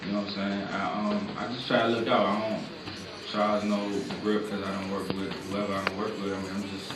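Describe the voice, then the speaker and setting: A young man talks in a loose, laid-back way, filling his answers with casual fillers. His voice sits a little low. It comes from a mic set well back in an echoey room, with noticeable background noise. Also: level tone, a little fast, distant mic. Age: 20s. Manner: laid-back, filler-heavy, lots of "like" and "you know what I'm saying". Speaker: music producer, guest. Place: small recording studio.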